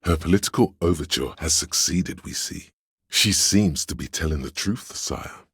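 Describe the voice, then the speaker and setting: An actor voicing the grandiose, courtly inner voices of a detective in a video game, gravelly and husky, with a dramatic, archaic, declamatory delivery. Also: male voice, rumbling low, moderate pace, fairly bright tone, dry recording, studio quality, full character voice. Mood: theatrical, scheming. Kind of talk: theatrical video game voice lines.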